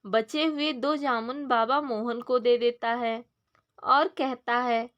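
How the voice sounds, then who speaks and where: High-pitched woman narrating a children's story. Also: steady tone, takes it slowly, a bit bright, muffled recording.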